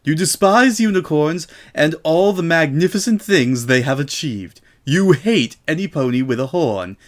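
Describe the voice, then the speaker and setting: Man putting on a haughty, high-pitched lady unicorn. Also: expressive, a little slow. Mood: smug, accusing. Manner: pompous, emphatic. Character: smug, haughty female unicorn, theatrical accuser. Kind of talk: dramatic reading of a fan story.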